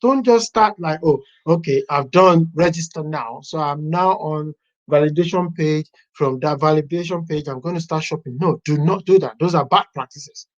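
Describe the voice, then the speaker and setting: A software testing teacher walking a class through a website step by step, thinking aloud as they browse. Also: medium pitch, normal speed. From Nigeria. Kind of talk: live class walkthrough. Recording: dull lo-fi online class audio.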